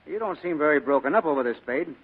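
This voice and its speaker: A hostile cop in an old radio play. His voice sits fairly high and moves fast, firing brusque, suspicious questions. The broadcast sound is thin and tinny. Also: expressive, breathy edge. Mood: gruff, suspicious. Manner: brusque, accusing, interrogating. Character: hostile, suspicious male police lieutenant. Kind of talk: 1940s radio drama acting. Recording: tinny band-limited vintage radio broadcast.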